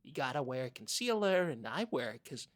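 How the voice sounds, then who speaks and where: Woman doing an awkward oversensitive public access co-host, really low voice, swings all over, stammers and repeats stuff. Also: very animated, moderate pace, exaggerated voice. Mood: distracted, eager. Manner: hesitant, stammering, repetitive. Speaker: podcast co-host. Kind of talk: improvised comedy radio chat.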